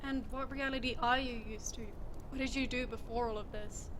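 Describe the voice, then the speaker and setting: A woman acting a cocky, commanding rescuer in an audio drama. Her voice is high and forceful, brisk and teasing, over faint noise. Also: fairly even intonation, close to an everyday voice. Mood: impatient, coaxing. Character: brash, bossy, upbeat female desert rescuer.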